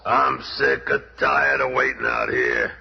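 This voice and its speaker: A man playing a gruff, cranky, wisecracking rock-bodied hero in a 1970s radio drama, brash and gravelly, on a noisy, muffled old recording. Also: extremely low pitch, huge pitch swings, measured pace, heavily acted. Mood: grumbling. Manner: brash, streetwise, grumbling.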